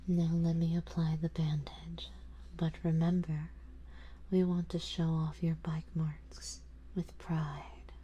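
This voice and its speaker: A woman playing a doting, possessive, genteel vampire in a whispered ASMR roleplay, speaking softly and coaxingly in a breathy whisper. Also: sits low, slight background noise. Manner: soft, coaxing, genteel, indulgent.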